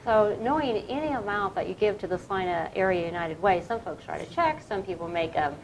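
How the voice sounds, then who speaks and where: Friendly chatty woman host talking up donations, mid-pitched voice, little bit of hiss. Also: lively intonation, brisk, low-quality recording. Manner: friendly, chatty, often laughs. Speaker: host of a community fundraiser livestream segment. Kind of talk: casual interview and appeal for donations.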